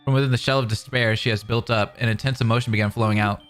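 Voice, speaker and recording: Casting: animated male streamer, mid-pitched forceful voice, dramatic reading with excited asides, faint music. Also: quick pace, clear, resonant tone. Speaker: reaction streamer. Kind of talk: reading narration aloud with excited commentary.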